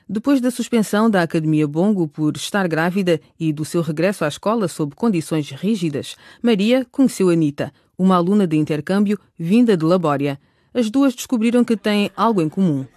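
A woman's voice: on the low side, expressive, a little fast, a little mellow, no echo. Woman narrator, close dry sound. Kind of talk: scripted radio drama narration.

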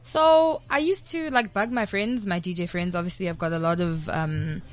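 A young woman from South Africa, a guest DJ chatting casually in an interview, animated and full of "like". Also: lowish voice, normal speed.

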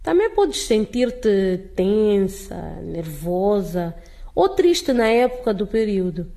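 A kindly school headmistress in a radio drama. Her voice sits at a middle pitch, and she speaks frankly, teaching and reassuring as she goes. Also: very animated, takes it slowly, mellow. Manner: reassuring, instructive.

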